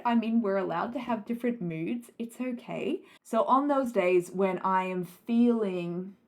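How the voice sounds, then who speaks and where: A woman's voice: mid-range voice, vocal fry. A woman from Australia, a writing coach in her thirties, answering viewers' writing questions in an encouraging, conversational way. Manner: warm encouraging, rhetorical questions. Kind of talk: Q&A advice talk to camera.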